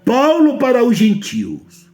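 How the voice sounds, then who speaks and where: A man's voice: extremely high pitch, huge pitch swings, takes it slowly, a little mellow, faint music behind. A man from Brazil with a Brazilian Portuguese accent, preaching a sermon emphatically and often asking his listeners whether they understand.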